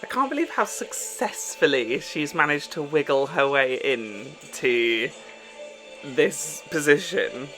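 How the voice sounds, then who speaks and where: A man's voice: fairly high pitch, animated, slow, bright, forceful tone, soft background music, poor audio. A young man giving casual, enthusiastic reaction commentary as host, recorded in a small bedroom. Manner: enthusiastic, gushing. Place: small bedroom, windows shut.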